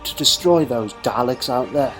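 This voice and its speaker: A man reads a curious gentleman hero in a sci-fi audio story. He is calm and polite as he lays out his plans, with slight hesitations. Music runs clearly underneath. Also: medium pitch, normal speed, natural voice. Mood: reassuring.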